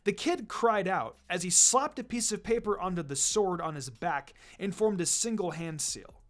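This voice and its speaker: A man's voice: sits high, animated, moderate pace. A man reading an adventure story aloud as narrator, in a dramatic scripted delivery. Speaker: narrator, fan fiction reader.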